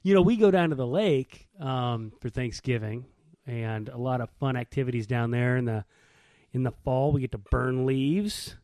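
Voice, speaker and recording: A man's voice: mid-range voice, normal speed, mellow, dry recording. An American man in casual podcast banter, talking in an emphatic, slangy way. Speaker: podcast co-host.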